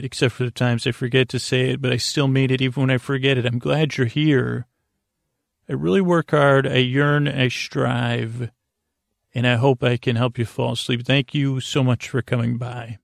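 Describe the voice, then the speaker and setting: A man hosting a bedtime podcast in a creaky, mumbling voice, meandering off on tangents. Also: mid-range voice, no echo, studio quality. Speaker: podcast host. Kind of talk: rambling bedtime podcast intro.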